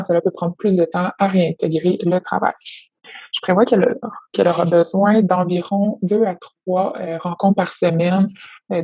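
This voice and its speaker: A woman speaking as a therapist in a measured, formal, explanatory way on a professional call. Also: on the low side, mellow, slightly rough, no echo, low-quality recording. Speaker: occupational therapist. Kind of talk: professional phone consultation, prepared report.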